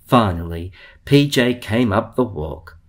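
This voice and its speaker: A man reading a children's storybook aloud in a theatrical way, with drawn-out emphasis. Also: fairly low pitch, lively intonation. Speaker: storybook reader.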